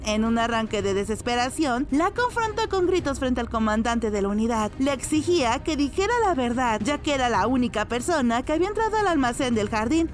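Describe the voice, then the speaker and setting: A woman giving a scripted narration as the storyteller of a dramatic recap. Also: high-pitched.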